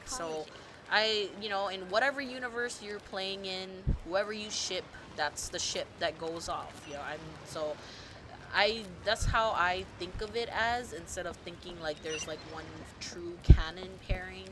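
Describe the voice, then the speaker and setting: A woman streamer rambling casually and excitedly, full of fillers and the odd swear word. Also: on the low side, unhurried, noisy background. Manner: gushing, animated, swearing casually, lots of fillers. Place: home streaming setup.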